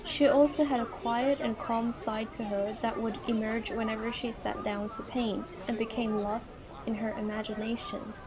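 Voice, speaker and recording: A woman casually commentating on a game and reading its text aloud, with expressive reactions. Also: fairly high pitch, normal speed, hardly any pauses, crowd noise, low-quality recording. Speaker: gaming video host. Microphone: earphone mic.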